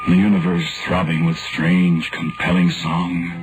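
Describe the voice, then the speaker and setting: A low-pitched, haunted radio narrator. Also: male voice, little pitch movement, poor audio. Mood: awed. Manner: dramatic, poetic, deliberate. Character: haunted, wrongly condemned man narrating his ghostly journey. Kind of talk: 1940s radio horror acting. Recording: vintage radio recording with loud music.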